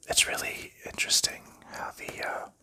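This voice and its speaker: A man whispers through an ASMR session, his voice airy and very bright. He drifts from topic to topic in an easy, unhurried ramble, with small fillers as he works the cards. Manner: soft rambling whisper with light laughs and fillers. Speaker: ASMR channel host. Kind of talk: ASMR whispered chat while sleeving cards.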